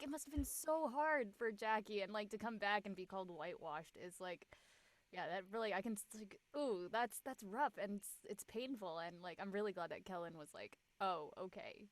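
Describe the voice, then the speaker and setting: A woman's voice: medium pitch, expressive, normal speed, no echo. A young woman from the United States chatting casually as a panelist in a group discussion. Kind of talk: casual chat.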